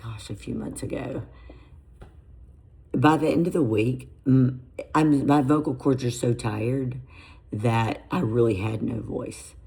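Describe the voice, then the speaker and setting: A woman in her fifties with a raspy voice, a fitness coach chatting casually to camera in a home vlog, self-deprecating and loose. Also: very deep voice, warm tone. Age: 50s. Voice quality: raspy, gravelly. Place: home garage on a treadmill.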